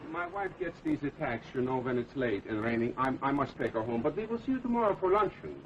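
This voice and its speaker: An actor playing a suave, evasive gentleman detective in a 1930s film, politely making his way through the talk. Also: mid-range voice, huge pitch swings, fast, creaky. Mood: apologetic, evasive. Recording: dull, noisy old film soundtrack.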